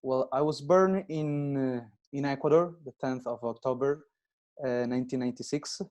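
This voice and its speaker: Young man, non-native english, hesitant, pauses a lot, dark mellow voice, middle pitch. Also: steady tone, dull audio. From Italy. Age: 20s. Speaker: guest. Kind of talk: casual interview chat.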